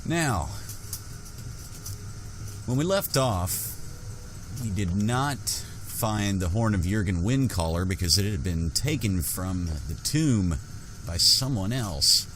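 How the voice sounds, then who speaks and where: A man hosting a gaming roleplay playthrough, narrating in an unhurried way with pauses and musing hums. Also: lowish voice, animated, a bit bright. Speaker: gaming channel host. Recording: music under the voice. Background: game music.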